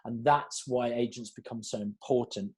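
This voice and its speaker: A man's voice: mid-range voice, moderate pace, slightly rough. A man, a casting director answering questions in a rambling, chatty way. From South Wales. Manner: chatty, rambling, animated storytelling. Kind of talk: online Q&A chat.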